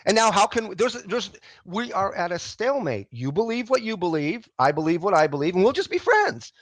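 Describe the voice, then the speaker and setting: Man, booming and emphatic, fairly high voice, dry close sound, video call debater. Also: big pitch swings, brisk, no echo. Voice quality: loud booming voice. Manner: emphatic, repeats himself to end the argument. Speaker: atheist debater. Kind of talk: heated casual debate.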